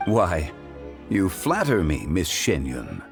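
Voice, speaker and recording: Courtly game gentleman, low voice, composed, eloquent, expressive intonation, music behind. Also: full character voice. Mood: composed, courteous. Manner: formal, measured, eloquent. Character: courtly, composed gentleman consultant and antiques appraiser. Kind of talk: video game voice acting.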